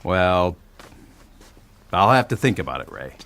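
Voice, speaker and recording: A man's voice: low-pitched, very animated, measured pace, gravelly, fairly bright tone, heavily acted. An actor playing a cautious but supportive father in an audio drama, wry and a little hesitant. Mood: reluctant, doubtful. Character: cautious father of a young boy, grudgingly supportive.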